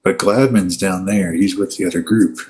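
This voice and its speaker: Deep-voiced man, casual commentary. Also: fairly even intonation, normal speed, darkish tone. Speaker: video host watching and commenting on virtual air traffic control session.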